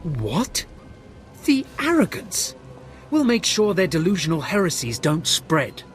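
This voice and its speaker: An actor playing a loyal imperial officer in a video game, with an earnest, hearty military manner. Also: male voice, on the high side, wildly swooping pitch, measured pace, faint music behind. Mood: indignant. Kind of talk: dramatic video game acting.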